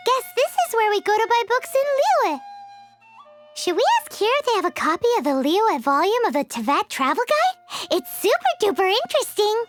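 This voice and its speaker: A woman voicing a tiny, childlike, excitable fairy companion in a video game, squeaky and bubbly in manner. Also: normal speed, faint music behind. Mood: eager, curious. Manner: bubbly, expressive, childishly direct. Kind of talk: video game character acting.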